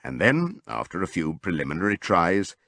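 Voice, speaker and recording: A man's voice: low voice, huge pitch swings, moderate pace, creaky, fairly bright tone, no room sound, clean studio sound. A man reading literary prose as a scripted audiobook narrator.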